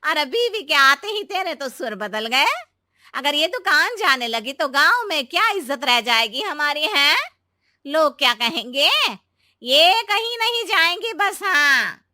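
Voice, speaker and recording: A stern village mother-in-law for an animated moral tale. Her voice is extremely high and hard-edged, with a brusque, nagging delivery that snaps shut at the end of remarks. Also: measured pace, dry recording, clean studio sound, heavily acted. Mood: indignant. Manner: brusque, nagging, ends remarks with a sharp "haan". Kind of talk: animated Hindi moral-story voice acting.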